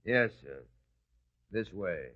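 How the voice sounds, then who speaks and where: A man's voice: low voice, animated, slow pace. A man playing a dutiful palace guard in a biblical radio drama, curt and formal. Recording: dull, lo-fi radio recording.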